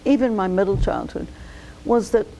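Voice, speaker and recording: An older woman, a physician, telling her life story slowly and thoughtfully in an oral history interview. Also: mid-range voice, sing-song, vocal fry, mellow, poor audio. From United States. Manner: measured, reflective storytelling.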